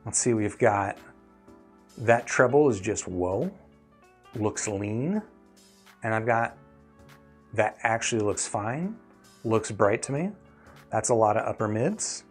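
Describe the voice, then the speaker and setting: A man's voice: on the low side, expressive, slow pace, pauses often, husky. Casual male live-stream host with music behind. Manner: conversational, explanatory, often checking in with "right?".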